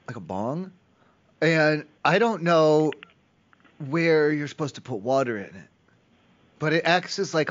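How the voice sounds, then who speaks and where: A man making an improvised prank phone call, rambling and pleading as if clueless. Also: a little high. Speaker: prank caller. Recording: slightly dull, lo-fi call audio.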